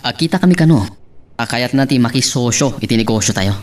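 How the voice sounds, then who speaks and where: Man acting an Ilocano businessman husband, mid-pitched. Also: expressive, fast talker, lightly acted. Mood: apologetic, firm. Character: businessman husband, apologetic but stubborn about work. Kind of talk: Ilocano radio-style serial drama acting.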